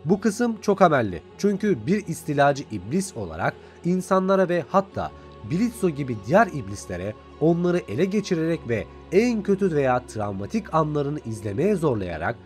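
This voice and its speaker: Guy doing scripted review narration, really varied pitch, music playing behind him. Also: very animated, moderate pace. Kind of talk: scripted narration recapping and reviewing an animated series. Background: background music.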